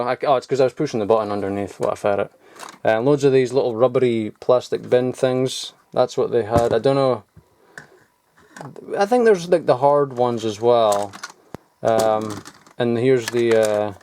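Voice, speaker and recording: A man's voice: medium pitch, a little mellow, slight background noise. Rambling young man showing old toys. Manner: rambling, offhand, chuckles at himself. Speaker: toy collector vlogger. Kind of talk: casual show-and-tell. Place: home loft.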